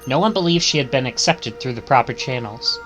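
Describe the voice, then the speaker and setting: Bright-voiced man reading game text aloud. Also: medium pitch, expressive, a little fast, soft background music. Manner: stumbles and corrects himself while reading. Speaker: streamer playing a visual novel.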